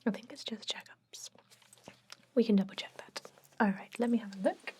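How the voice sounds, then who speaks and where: A woman playing a chatty but gently stern vampire dentist in a whispered ASMR roleplay, rambling in a confiding way with a breathy voice. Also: mid-range voice, steady tone, moderate pace, a little hiss or hum, lightly acted. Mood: attentive, businesslike. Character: chatty long-lived female vampire dentist, garlic-obsessed, gently stern. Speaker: ASMR performer.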